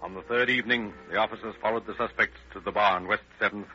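A man's voice: on the low side, fairly even intonation, fast, loud music behind the voice, close to an everyday voice. A man narrating a 1940s radio crime drama from a script. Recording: muffled old radio, crowd murmur behind.

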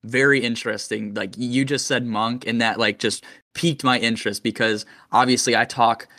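A man's voice: medium pitch, fairly even intonation, moderate pace, bright, forceful tone. A man hosting a fishing podcast, talking casually and eagerly with his guest, often saying "dude". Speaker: podcast host.

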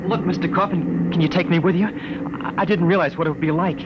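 An actor playing a frightened, naive young runaway in an old radio drama, hesitant, anxious and pleading. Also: male voice, high voice, fairly even intonation, brisk, dull audio, close to an everyday voice. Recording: noisy vintage radio drama recording.

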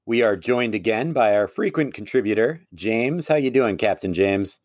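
A man's voice: fairly low pitch, lively intonation, moderate pace, a little mellow, no room sound. A man co-hosting a casual podcast, teasing his guest and joking through the banter. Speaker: podcast co-host.

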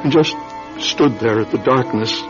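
An actor playing an anguished, desperate man in a radio drama, confessing to his wife in halting, repeated phrases. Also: medium pitch, lightly acted. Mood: distraught. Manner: halting, repeating words as if distraught. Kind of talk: dramatic radio play acting. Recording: dull lo-fi radio recording, music behind.

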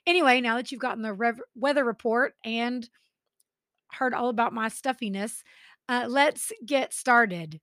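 A woman's voice: a little high, unhurried, fairly bright tone, no echo, clean studio sound. Chatty Texan woman with a stuffy nose. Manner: chatty, sarcastic asides. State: stuffy from allergies. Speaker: podcast host. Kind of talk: true crime narration.

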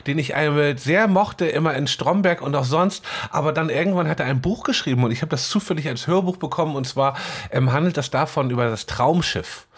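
Male podcast co-host, voice a bit high, blunt, rambling, stumbling delivery, casual chat. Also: fairly quick, few pauses, slightly rough. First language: German. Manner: rambling, stuttering over word starts, blunt.

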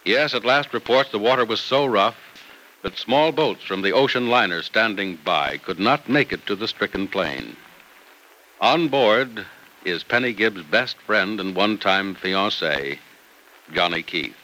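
Very bright, theatrical male radio announcer. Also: lowish voice, animated, measured pace, slight background noise, rough recording. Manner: theatrical, emphatic delivery. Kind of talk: old-time radio announcing, scripted. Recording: vintage radio broadcast recording.

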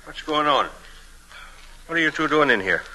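An actor playing a firm, matter-of-fact police officer in old-time radio drama, brisk and authoritative. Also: male voice, on the high side, animated, pushed and bright. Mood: suspicious, firm. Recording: faintly noisy vintage radio recording.

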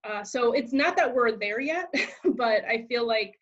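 This is a woman with a slightly high voice, heard through a dull, lo-fi recording. As host, she thinks aloud and circles her points with small hesitations and restarts. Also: lively intonation, unhurried, a bit bright. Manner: thoughtful, hesitant, lots of fillers and restarts. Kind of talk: conversational interview. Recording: dull lo-fi sound.

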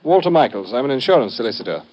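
Quick-talking polite salesman played by an actor. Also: mid-range voice, very animated, background noise, lightly acted. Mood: cooperative. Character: polite male insurance salesman questioned by police. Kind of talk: 1950s radio drama acting.

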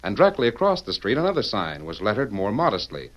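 A man's voice: lowish voice, wildly swooping pitch, fast talker, highs cut off. A man narrating a radio adventure in a dramatic, declamatory 1940s style. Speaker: announcer and narrator. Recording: hissy vintage radio recording.

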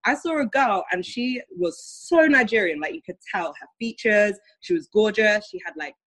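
A woman's voice: medium pitch, expressive, moderate pace, a bit bright. A young British woman talking in a casual conversation, chatty and full of fillers. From United Kingdom.

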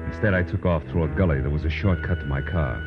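A hard-boiled private detective from old radio drama. His voice sits low, with a clipped, wisecracking bite. The recording is dull and dated, with prominent music behind it. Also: fast talker. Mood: hard-boiled, wry. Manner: clipped, sardonic. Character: tough wisecracking male private detective narrating his case.

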